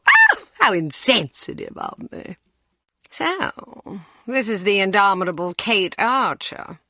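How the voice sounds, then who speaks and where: An actress playing a haughty, coolly cruel aristocratic villainess with a condescending, theatrical drawl in a video game. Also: female voice, medium pitch, sharp tone, dry recording, studio quality, heavily acted.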